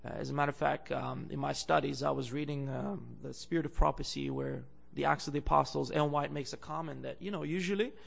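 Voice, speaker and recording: Gravelly-voiced man from Bulgaria preaching. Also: on the high side, fairly even intonation, fast talker, few pauses. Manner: hesitant, many fillers, louder when preaching and reading scripture. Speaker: guest preacher. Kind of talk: sermon. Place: church.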